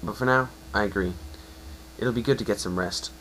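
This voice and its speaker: A man's voice: fairly low pitch, a little fast, a bit bright, a little hiss or hum, lightly acted, rough recording. A man voicing a grateful, wistful pony who has lost flight, in an amateur story reading. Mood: agreeable.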